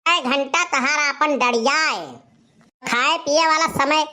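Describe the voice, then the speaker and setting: Lady doing a bossy cartoon village girl, awadhi accent, high voice, scolds and repeats herself, totally brazen. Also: slow pace, sharp tone, full character voice. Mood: brazen, explaining. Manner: scolding, brazen, repetitive catchphrases. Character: sassy, impatient village young woman juggling several suitors. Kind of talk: animated comedy dialogue.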